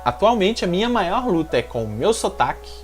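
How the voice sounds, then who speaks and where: Mineiro-accented male vlogger, energetic and lively in pitch, addresses the viewer directly over faint music. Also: normal speed. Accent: Mineiro Brazilian Portuguese. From Minas Gerais, Brazil. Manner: energetic, talks straight to the viewer. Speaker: YouTuber giving language-learning tips. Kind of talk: direct-to-camera advice vlog.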